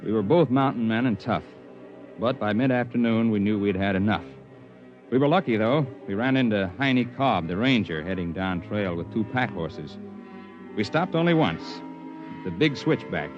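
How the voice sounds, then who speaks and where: Guy playing a rugged mountain man narrator, dry and plain, muffled old radio recording with music clearly behind. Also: on the low side, expressive, moderate pace, natural voice. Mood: reflective narrating. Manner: plain, dry, matter-of-fact storytelling. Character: rugged, plainspoken mountaineer telling his own adventure. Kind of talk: old-time radio narration and dramatic acting.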